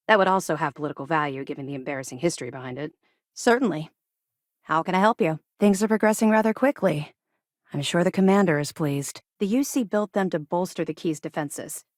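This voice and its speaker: A woman playing a disciplined, no-nonsense military officer in a sci-fi video game, speaking in a crisp, formal, businesslike way. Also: fairly low pitch, no echo, clean studio sound, natural voice. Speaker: military officer briefing the player. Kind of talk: scripted video game dialogue.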